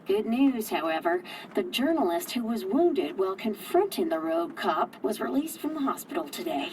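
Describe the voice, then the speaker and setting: An actress playing a chatty small-town radio news presenter in a video game, breezy and conversational. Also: female voice, medium pitch, very animated, normal speed, distant mic, noisy background, close to an everyday voice. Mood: breezy, newsy. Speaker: radio host. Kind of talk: local radio news chat.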